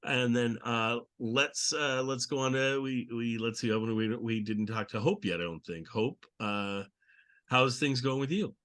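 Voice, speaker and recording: A man's voice: mid-range voice, normal speed, a bit bright. A man hosting a casual group chat, talking in an enthusiastic, rambling way. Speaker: show host. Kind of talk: casual group chat about old radio.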